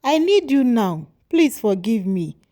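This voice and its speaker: Storybook role: woman reading a sick, remorseful old man, low and pleading, unhurried. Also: big pitch swings, mellow. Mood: begging. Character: sick, lonely old man full of regret.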